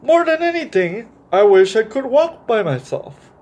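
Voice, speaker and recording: A man's voice: extremely high pitch, big pitch swings, a little slow, a little mellow. A man hosting a children's story time, reading a picture book aloud and explaining it directly to young listeners.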